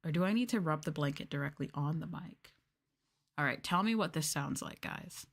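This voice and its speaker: A woman's voice: deep voice, moderate pace, creaky. A woman streamer chatting casually on an ASMR livestream, playful, giggly and a bit hesitant, close to the mic. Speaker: VTuber streamer.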